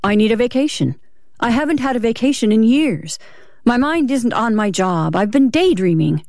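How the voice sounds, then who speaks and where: A woman's voice: a little high, very animated, normal speed, darkish tone, dry recording, studio quality. Casting: woman playing a nervous husband in an audiobook, guilty and placating, choosing words carefully and faltering. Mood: careful, forced calm. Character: nervous, evasive husband lying to his suspicious wife.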